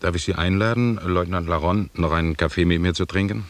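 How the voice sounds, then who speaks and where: An actor playing an imperious, condescending expedition leader in a German radio drama, lecturing deliberately in a gravelly, husky voice. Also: male voice, low-pitched, close to an everyday voice. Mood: brisk, insistent.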